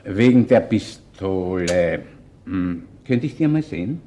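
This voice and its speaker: An actor playing an affable, probing police inspector with a Viennese accent and an understated manner in a radio play. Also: male voice, deep voice, expressive, takes it slowly, dark tone, a little hiss or hum. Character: affable, wily male police chief inspector. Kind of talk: German-language crime radio play acting.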